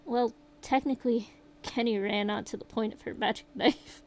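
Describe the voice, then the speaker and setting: A woman's voice: a little high, steady tone, normal speed, darkish tone, close to an everyday voice. A woman reading as a shaken young survivor. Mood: grim retelling. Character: shaken young survivor recounting a horror night.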